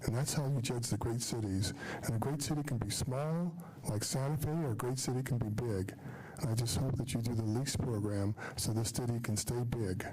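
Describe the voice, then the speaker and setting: A soft-spoken older man speaks at a city council podium without a script, pausing and using ums. His voice has a mellow, dark tone. A faint hiss sits under the microphone. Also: mid-range voice, rough recording. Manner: halting, unscripted, with ums. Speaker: public commenter. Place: city council meeting chamber.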